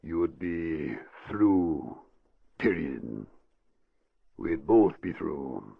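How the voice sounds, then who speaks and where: An actor playing a brusque, confident, cynical middle-aged crooked boss in an old-time radio drama. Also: male voice, a bass voice, sing-song, very slow, vocal fry, breathy edge, full character voice. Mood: smug, dismissive. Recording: dull lo-fi vintage radio recording.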